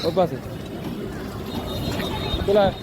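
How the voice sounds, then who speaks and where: A man narrating a casual travel vlog, chatty and repeating himself as he describes his surroundings. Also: slow. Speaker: vlogger. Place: outdoors on roads and in moving vehicles. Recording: very noisy outdoor traffic and vehicle noise. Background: loud vehicle and street noise.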